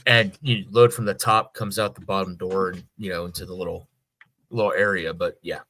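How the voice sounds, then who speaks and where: A man's voice: low voice, steady tone, brisk, bright, forceful tone. A man co-hosting a podcast, talking casually and plainly, listing things loosely with plenty of you-knows. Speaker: podcast co-host. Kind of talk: casual chat.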